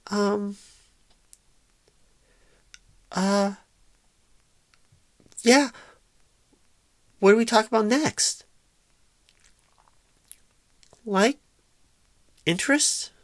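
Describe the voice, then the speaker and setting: Woman performing timid new recruiter, ASMR roleplay, slow faltering speech, stammering, awkward, soft-spoken, dry recording. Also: mid-range voice, no room sound. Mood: awkward, tentative. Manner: bashful, halting, stammering, awkward and unsure. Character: painfully shy, nervous, inexperienced job recruiter on first day.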